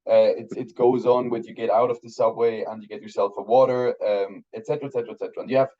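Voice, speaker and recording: A man's voice: lowish voice, flat delivery, fast talker, mellow, dull audio. A Swiss man in his thirties whose first language is German, chatting casually in an interview with many restarts and fillers. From Switzerland. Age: 30s. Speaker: guest filmmaker.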